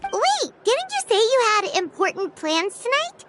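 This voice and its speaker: A woman's voice: extremely high pitch, big pitch swings, measured pace, loud music behind the voice, full character voice. A woman voicing a tiny, chirpy, nosy floating girl companion in a game cutscene, talking about herself in the third person.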